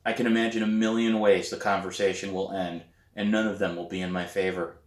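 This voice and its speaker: A man reading a children's novel aloud as its author, in an animated storytelling manner. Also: sits low, a little fast. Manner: animated, acts out dialogue. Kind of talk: expressive audiobook-style read aloud of a children's novel. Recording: roomy echo.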